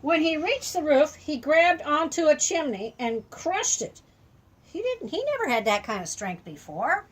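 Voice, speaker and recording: An older woman reading a children's story aloud as a grandmotherly storyteller, adding simple, expressive asides to explain things to a small child. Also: high voice, measured pace.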